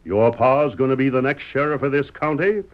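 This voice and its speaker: A man's voice: medium pitch, fairly quick, close to an everyday voice. An actor playing a stubborn middle-aged frontier father in a radio western, with a wry, folksy Western American drawl. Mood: stubborn, confident. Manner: folksy, sly, drawling needling. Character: stubborn, wry Old West father, sheriff candidate. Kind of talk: old-time radio western acting. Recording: dull, muffled old radio recording.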